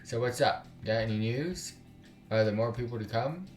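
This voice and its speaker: A man's voice: medium pitch, moderate pace, natural voice. A man voicing a chatty, cheerful young woman from a visual novel, chattering and gushing. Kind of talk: visual novel character read-aloud. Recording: roomy echo, distant mic, music behind.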